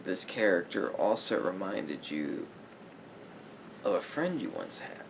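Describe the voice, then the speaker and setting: A man playing a calm, sympathetic therapist in an ASMR roleplay, speaking in a halting, pensive way with pauses. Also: gravelly. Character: calm, sympathetic male therapist talking to a client. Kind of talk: slow therapy roleplay ASMR, improvised and conversational. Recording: noisy recording with low rumble.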